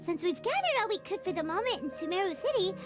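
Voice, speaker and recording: A woman's voice: very high-pitched, big pitch swings, quick pace. A woman voicing a tiny, bubbly female fairy-like companion who talks about herself in the third person, in a game cutscene. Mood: cheerful. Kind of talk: video game cutscene voice acting. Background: game background music.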